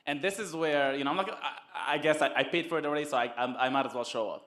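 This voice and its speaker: Man telling his story in halting bursts. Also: on the high side, a bit bright. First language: Arabic. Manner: halting, repeats words, chuckles. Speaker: summit guest, network marketer. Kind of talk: casual testimonial storytelling.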